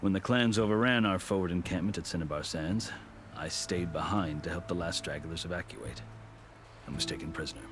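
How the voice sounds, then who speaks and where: A very low-pitched, weathered soldier of high rank speaks with formal, measured eloquence. He carries himself like a proud storyteller in a game cutscene, with music clearly playing behind him. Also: fairly bright tone. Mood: wry, solemn. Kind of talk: video game cutscene acting.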